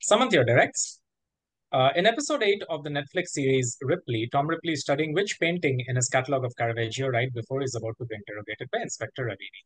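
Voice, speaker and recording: A man's voice: mid-range voice, fast, a bit bright, no room sound, low-quality recording. A man hosting a quiz, reading out questions and ruling on the answers. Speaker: quiz master.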